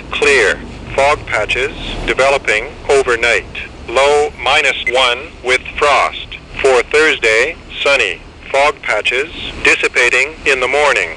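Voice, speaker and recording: A man's voice: medium pitch, bright, forceful tone. A synthetic man's voice reading a scripted weather forecast as a radio announcer, in clipped, segmented phrases. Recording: noisy weather radio receiver.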